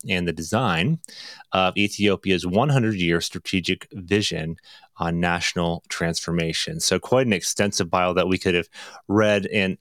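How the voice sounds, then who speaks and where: Deep-voiced podcast host, dry close sound. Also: male voice, a bit bright, no room sound. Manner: earnest, upbeat, leans on "you know" and "um". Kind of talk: podcast interview hosting.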